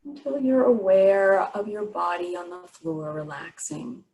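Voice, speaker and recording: A woman teaching guided yoga nidra meditation, giving soothing, unhurried instructions with pauses between phrases. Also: medium pitch, animated, creaky, darkish tone, a little hiss or hum, rough recording.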